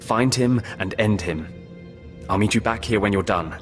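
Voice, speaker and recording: A man playing a gruff, sarcastic undercover operative in a video game, curt and condescending. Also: fairly low pitch, moderate pace, faint music behind, close to an everyday voice. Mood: dismissive.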